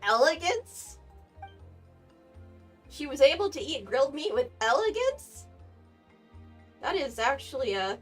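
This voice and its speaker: A woman's voice: high-pitched, big pitch swings, measured pace, long pauses. A woman game streamer giving casual, playfully teasing reactions during a playthrough. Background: game music.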